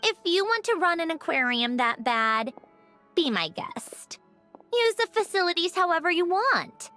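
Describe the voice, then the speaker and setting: A woman voicing a haughty, sardonic mechanical young aristocrat in a video game, speaking in a crisp, dry manner. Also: very high voice, big pitch swings, a little slow, pushed and bright, faint music behind, full character voice. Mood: dismissive.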